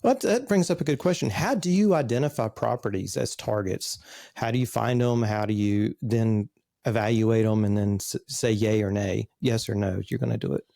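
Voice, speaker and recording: Man, fifties, interview host, mellow, dry recording, casual with fillers. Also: on the low side, normal speed, vocal fry, no echo. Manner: reads introduction, then chats loosely with fillers. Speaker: podcast host.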